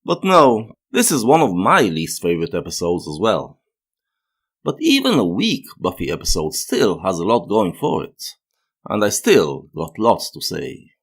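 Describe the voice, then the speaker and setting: A man's voice: fairly low pitch, huge pitch swings, unhurried. A man delivering scripted, opinionated video essay narration with formal phrasing, as the host.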